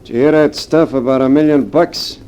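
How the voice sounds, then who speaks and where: A man's voice: a little high, fairly even intonation, fairly quick, darkish tone, clean tone, lightly acted. An actor playing a callous gangster hitman with slangy tough talk in a 1940s radio crime drama. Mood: cold, scornful. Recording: old 1940s radio broadcast.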